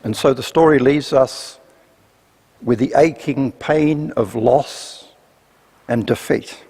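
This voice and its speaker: A man delivering a scripted sermon reflection gravely, with deliberate pauses between phrases, in a church. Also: mid-range voice, darkish tone. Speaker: church speaker giving a reflection. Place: church, live service. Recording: reverberant church, faint noise.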